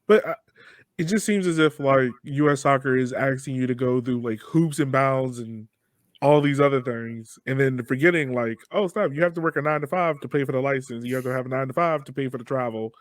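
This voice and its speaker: A man's voice: medium pitch, fairly quick, no room sound. A man hosting a podcast interview, talking in a casual, conversational style. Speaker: podcast host.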